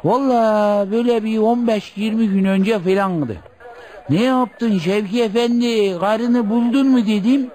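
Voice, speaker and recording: Casting: folksy village headman for radio theatre, notably high voice, rambling gossip, full of little interjections. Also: a little slow, mellow. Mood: chatty, recounting. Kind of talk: Turkish radio theatre acting.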